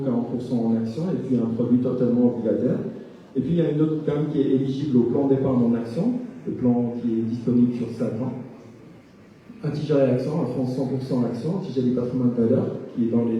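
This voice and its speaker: A man giving a conference presentation at a savings fair, explaining in a measured way with frequent hesitations. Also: mid-range voice, steady tone, distant mic, slight background noise. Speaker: asset management speaker. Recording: echoey, dull hall recording from afar.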